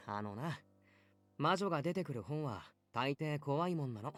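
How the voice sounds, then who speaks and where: A man's voice: fairly high pitch, animated, quick pace, lightly acted. An actor playing a blunt but kindly, protective man in a visual novel, speaking casually. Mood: gently scolding. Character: caring, gently scolding male newspaper reporter, protective housemate. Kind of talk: Japanese visual novel voice acting. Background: game music.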